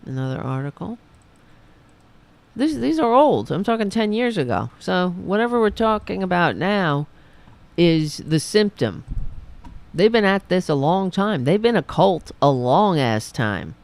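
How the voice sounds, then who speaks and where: A woman hosting a political talk livestream, hoarse after a long show, rambling and sarcastic as she talks to her chat. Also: fairly low pitch, big pitch swings, a little slow, darkish tone. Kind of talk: casual livestream commentary.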